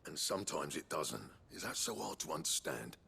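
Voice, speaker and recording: An actor playing a gruff, proud master blacksmith who grumbles and swears bluntly in a video game. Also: male voice, very deep voice, very animated, moderate pace, sharp tone, heavily acted. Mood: weary, irritated. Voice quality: gravelly. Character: gruff, proud, foul-mouthed village-born master blacksmith.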